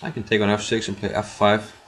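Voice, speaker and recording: A man's voice: lowish voice, little pitch movement, fast, fairly bright tone. A man with an Icelandic accent giving casual live commentary as he streams a game, muttering and repeating his own phrases, thinking aloud. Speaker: chess streamer.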